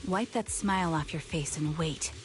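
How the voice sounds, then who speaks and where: An actress playing a stern, focused young military sniper in an anime game, serious and clipped. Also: female voice, sits low, moderate pace, soft background music, close to an everyday voice. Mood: cold defiance.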